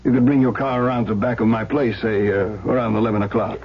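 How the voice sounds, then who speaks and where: An actor as a grudging streetwise mortician, muffled sound. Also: male voice, medium pitch, fairly even intonation, quick pace, natural voice. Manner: colloquial, grudging. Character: wary streetwise mortician, a reformed crook. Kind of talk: 1970s radio drama acting. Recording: dull, humming, noisy old radio recording.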